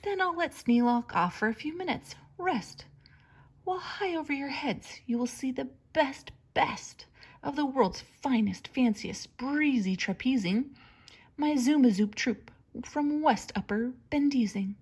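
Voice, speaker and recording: A woman reading a children's story aloud like a teacher, with expressive, theatrical emphasis and a breathy edge to her voice. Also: high voice, a little slow. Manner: dramatic, theatrical emphasis on words.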